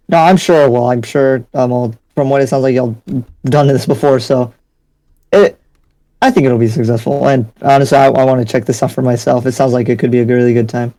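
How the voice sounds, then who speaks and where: A man's voice: mid-range voice, steady tone, brisk, mellow, close to an everyday voice, rough recording. A man playing an easygoing, curious demon slayer in an improvised anime game roleplay, casual and hesitant. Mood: friendly, interested. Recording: music behind.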